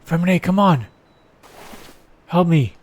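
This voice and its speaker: Slow-paced, higher-voiced man gaming. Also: animated. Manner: informal, reacts aloud in short bursts. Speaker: gamer playing and reacting. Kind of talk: casual gameplay commentary. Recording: game music faintly behind.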